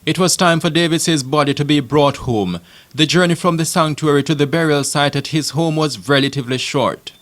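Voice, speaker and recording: A man reading a scripted news report in a measured, even way. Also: on the high side. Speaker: news narrator.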